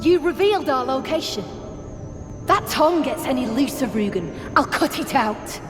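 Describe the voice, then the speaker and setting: High-pitched, menacing bandit woman. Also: lively intonation, normal speed, music in the background. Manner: brusque, menacing. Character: hostile, threatening female bandit guard. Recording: echoey cavern reverb.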